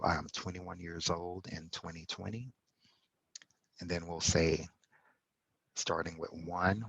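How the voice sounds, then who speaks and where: A man explaining code step by step in a tutorial, pausing often and correcting himself as he goes. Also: low-pitched, a bit bright, husky, no echo, poor audio. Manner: halting, self-correcting explanation with fillers. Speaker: instructor. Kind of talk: screen-recorded coding tutorial.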